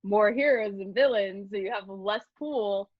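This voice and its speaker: Lo-fi dry recording, woman, casual interviewer, playful, giggly, mid-range pitch. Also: measured pace, no room sound.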